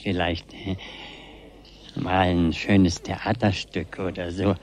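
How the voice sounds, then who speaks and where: An older actor playing a frail, absent-minded old pensioner in a 1970s radio drama, speaking haltingly and gently. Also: male voice, deep voice, level tone. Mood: hesitant, wistful. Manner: halting, hesitant, breathy trailing phrases.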